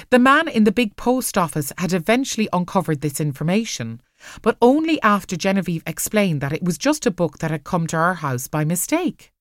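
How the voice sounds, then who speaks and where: Expressive, lowish-voiced woman narrating. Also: no room sound, clean studio sound. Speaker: audiobook narrator. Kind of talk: expressive scripted story narration.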